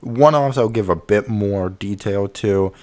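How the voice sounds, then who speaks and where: A young man with a slightly low voice runs through his picks in an easygoing, unscripted way. He's blunt with his opinions and talks straight to his viewers as a commentator. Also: unhurried, darkish tone. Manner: candid, opinionated, loose with fillers. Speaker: YouTube commentator. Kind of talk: casual review commentary.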